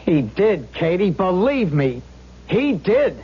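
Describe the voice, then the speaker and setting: An actor playing a frightened, stammering city cop in a 1970s radio drama. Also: male voice, high-pitched, sing-song, very noisy, heavily acted. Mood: insistent. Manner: halting, stammering, insistent. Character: frightened, stammering male city cop confessing an impossible sighting. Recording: dull lo-fi 1970s radio recording.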